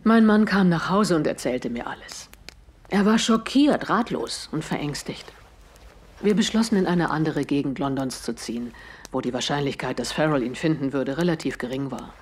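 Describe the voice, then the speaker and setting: An actress playing an earnest, composed widow in a German audio drama, telling her story deliberately with dramatic pauses. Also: sits low, expressive, slightly rough. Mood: sorrowful, earnest. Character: grieving widow of a murdered man, earnest and composed.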